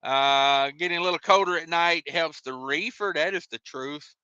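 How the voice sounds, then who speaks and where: High, bright-voiced folksy American man. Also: normal speed, clean tone, no echo, muffled recording. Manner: folksy, rambling, colloquial speech. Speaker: livestream host, truck driver. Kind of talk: casual live chat, reading out viewer comments and replying.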